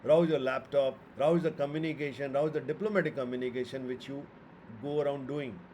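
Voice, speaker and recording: A man's voice: medium pitch, fairly even intonation, brisk, a little mellow, a little hiss or hum. A man with an Indian accent giving an informal, opinionated astrology lecture, making emphatic points and posing rhetorical questions. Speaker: astrologer and author.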